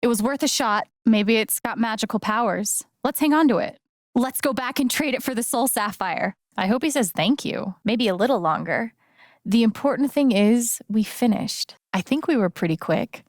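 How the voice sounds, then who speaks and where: An actress playing a kind, playful young woman in a video game, speaking in a casual, gentle, naturalistic way. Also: on the high side, animated, moderate pace, no echo, studio quality, close to an everyday voice. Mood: casual, upbeat. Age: young adult.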